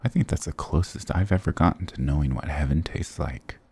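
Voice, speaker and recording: Very deep, gravelly flirty goth guy, acted by a man. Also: a little fast, mellow, no room sound, heavily acted. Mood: teasing, curious. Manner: teasing, affectionate, mock-dramatic. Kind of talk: intimate ASMR roleplay acting.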